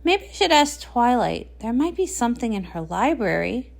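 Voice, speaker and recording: A woman's voice: a little high, very animated, moderate pace, gravelly, voice pushed down. A woman voicing an earnest, bookish male pony scholar who sounds hesitant and puzzled in a comic read-along.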